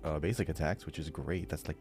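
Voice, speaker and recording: A man's voice: a bass voice, steady tone, fairly quick, music in the background. A man co-hosting a podcast in casual chat, explaining things in a rambling, loosely detailed way. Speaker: podcast co-host.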